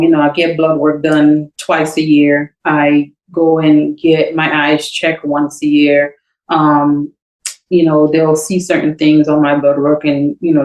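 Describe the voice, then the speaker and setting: Woman, middle-aged, born in Trinidad, raised in Grenada, animated storyteller, laughs a lot, fairly low voice. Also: echoey room, dull audio. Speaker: podcast guest. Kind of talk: casual interview chat.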